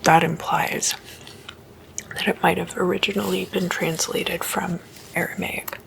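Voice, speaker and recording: A woman whispers an ASMR story in a hushed, informal explanatory manner. Her delivery is very slow and stays quite even, with little melodic movement. A gravelly creak runs through the voice. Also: fairly low pitch, slight background noise, low-quality recording. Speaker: host of a spooky ASMR series.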